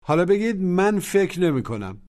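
A man's voice: high voice, sing-song, very fast, darkish tone. A man giving short prompts and pronunciation tips in a recorded language lesson. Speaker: language teacher.

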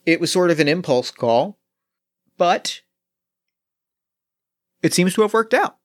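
A man voicing a male android test pilot who speaks in a halting, measured and oddly formal way. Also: high voice, animated, pitched above the performer's own voice. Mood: matter-of-fact.

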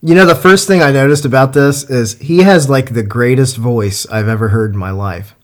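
A man's voice: mid-range voice, moderate pace. Easygoing Ohio man, rambling chat. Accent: Ohio, Midwestern American. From Ohio, USA. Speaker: podcast co-host. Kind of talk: casual podcast chat.

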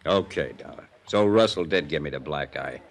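An actor playing a defensive, streetwise hotel bartender in a 1950s radio drama, talking in a tough, clipped way. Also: male voice, a bass voice, moderate pace. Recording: old radio broadcast with music.

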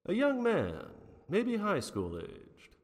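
A man's voice: high-pitched, wildly swooping pitch, slow, full character voice. A man playing a wry, unflappable private detective in a 1940s noir radio drama, with a theatrical, wordy manner. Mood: wry, storytelling. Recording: long reverberant hall sound.

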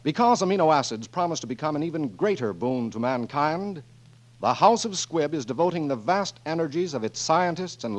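An American man making scripted 1940s radio announcements in a formal, emphatic manner. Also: a little high. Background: music.

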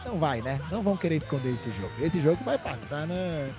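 A man's voice: on the high side, sing-song, fast talker, music in the background. A man from southern Brazil hosting a podcast, chatting casually about football with playful, joking banter. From Rio Grande do Sul, Brazil. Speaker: podcast host.

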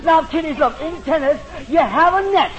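A squeaky flustered gym instructor. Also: male voice, normal speed. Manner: hearty, easily flustered, keeps correcting himself. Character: blustery male athletic instructor, flustered. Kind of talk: vintage children's radio comedy. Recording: noisy lo-fi live broadcast with audience.

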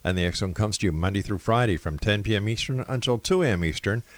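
A man's voice: fairly low pitch, fast, dry recording. A man hosting a late-night radio talk show, opening in announcer style and then interviewing his guest. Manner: announcer-style, rattles off station lists.

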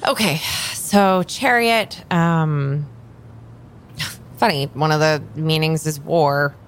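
An actress playing a jaded, reluctant tarot reader in a naturalistic improvised audio drama, hesitant and exasperated. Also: female voice, sits low, takes it slowly, slight background noise. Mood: uneasy, explaining. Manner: hesitant, sighing, muttering.

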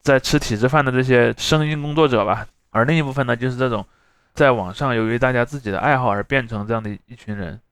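A man speaks quickly in a dry recording. He hosts conversationally, unfolding explanations in long discursive runs and checking in for agreement as he goes. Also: medium pitch, clean tone, no room sound. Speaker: podcast host.

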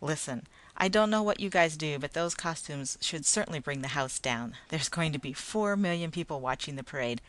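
A woman voicing a blustering middle-aged male parade manager who talks loudly, first indignant and then hearty. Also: sits low, expressive, moderate pace, few pauses. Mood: jovial. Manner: loud, indignant, then jovial.

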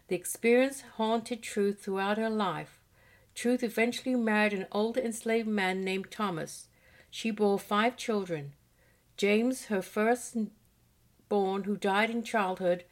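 Woman reading a history lesson. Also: mid-range voice. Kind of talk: read-aloud history lesson.

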